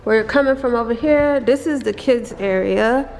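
A woman's voice: fairly high pitch, moderate pace, creaky, darkish tone. A woman vlogger casually chatting to camera in informal, slangy speech as she shows rooms on a house tour. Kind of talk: casual chat to camera while showing rooms. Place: empty rooms of a large house, indoors. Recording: echoey reverberant empty rooms, music behind.

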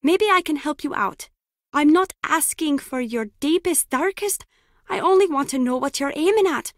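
A cheeky, nosy young woman in a video game. Her voice is high and swoops around as she teases and gushes. The sound is dry and close. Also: lively intonation, moderate pace, dry recording. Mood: nosy, coaxing. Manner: playful, teasing, gushing. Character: chatty, cheeky, excitable young woman, nosy would-be friend.

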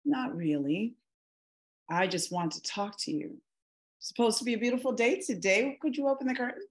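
Woman acting patient, gently probing wife. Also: on the high side, animated, moderate pace, lightly acted. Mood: gentle, questioning. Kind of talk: screenplay table read. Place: indoor room on a video call. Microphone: video call microphone.

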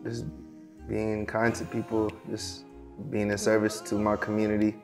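Soft-spoken young man, flat low voice. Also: over music. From Morocco, raised in Seattle. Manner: halting, many fillers and restarts, soft-spoken. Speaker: guest, performing artist. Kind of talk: casual interview answers. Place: dark studio set.

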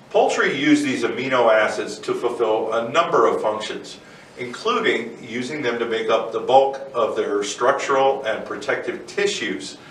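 A man in his forties reads a prepared explainer in a calm, measured way, his voice sitting fairly low. He sounds a little far from the mic, with a roomy echo and a faint hiss behind him. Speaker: co-host. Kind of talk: scripted educational explainer. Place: indoor studio set with barn-wood backdrop.

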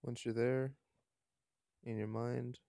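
Mellow, gravelly man guiding a meditation. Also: medium pitch, level tone, takes it slowly, dry recording, clean studio sound. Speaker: meditation guide. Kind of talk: slow guided meditation with long pauses. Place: outdoors.

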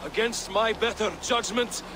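A man's voice: high-pitched, a little slow, noisy background. A man playing a proud, angry Viking warrior who accuses and defies, in dramatic video game acting. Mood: bitter, proud.

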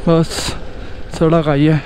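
A man with an Indian accent giving casual, excited running commentary while riding a motorbike on a rough mountain road. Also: fairly high pitch, takes it slowly, dull audio, a little hiss or hum. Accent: Hindi-speaking Indian. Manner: excited exclamations, chatty. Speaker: motovlogger.

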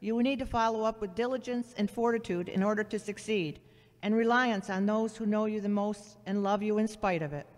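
An actor playing a caring but frank older sister who probes and admonishes, in an amateur audio drama. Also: female voice, medium pitch, fairly even intonation, fairly quick, natural voice. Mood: frank, admonishing. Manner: probing, admonishing. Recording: reverberant hall-like sound.

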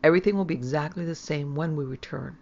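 A woman voicing a fond, firm upper-class mother in a storybook, brisk and coaxing. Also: low voice, vocal fry, a little mellow, muffled recording.

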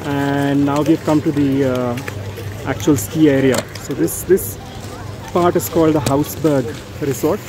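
A man's voice: a little high, steady tone, measured pace. A middle-aged man speaking casually to a handheld camera outdoors on a ski slope, hesitant with fillers. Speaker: travel vlogger. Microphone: handheld camera at arm's length.

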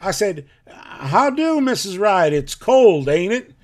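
Rural farmer witness, folksy, halting, slow, very varied pitch, audio drama. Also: male voice, big pitch swings, exaggerated voice. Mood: unsettled. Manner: folksy, hesitant, halting storytelling. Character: plain-spoken rural farmer, a witness recounting events. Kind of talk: live audio stage drama.

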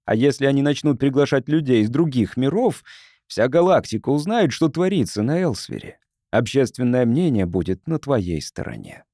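An earnest male scientist from Earth, played by a man reading an audiobook. He is polite and reasons patiently in a mellow voice. The sound is dry. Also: mid-range voice, sing-song, normal speed, no room sound. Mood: reassuring, reasoning. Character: earnest, conciliatory male visiting sociologist from Earth.

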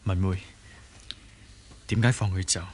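An actor in a radio drama playing an earnest, forthright young martial-arts hero with a husky voice. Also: male voice, sits low, fairly even intonation, unhurried, a little hiss or hum. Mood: earnest, playful. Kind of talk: Cantonese radio drama acting.

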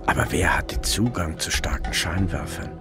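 A man's voice: low-pitched, normal speed, over music, close to an everyday voice. A man acting as a brooding police detective who narrates his case in first person in a German crime radio play. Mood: pensive.

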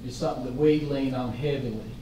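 A man presenting a school budget at a board meeting, explaining slowly with many fillers. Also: mid-range voice, fairly even intonation. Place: large meeting room. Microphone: distant room mic. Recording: echoey room, far from mic, room noise.

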